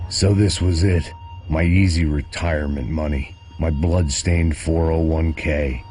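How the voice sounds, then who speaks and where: An actor playing a world-weary middle-aged American ex-cop, bitter, sardonic and deadpan, in a hardboiled noir game. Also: male voice, rumbling low, a little slow. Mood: grim, sardonic. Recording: music behind the voice.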